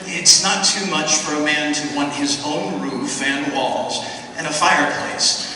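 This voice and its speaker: A man playing a gentle, halting old-fashioned father. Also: fairly high pitch. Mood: tender. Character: kindly middle-aged father, gentle and worn. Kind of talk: amateur radio play acting. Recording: echoey hall, distant mic, noisy.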